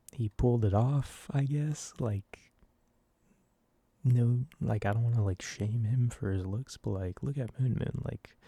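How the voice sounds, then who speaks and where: A man in his early twenties rambling softly and casually in an ASMR style, with a self-deprecating manner. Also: fairly low pitch, no echo. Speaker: ASMR video creator. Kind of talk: soft-spoken rambling ASMR.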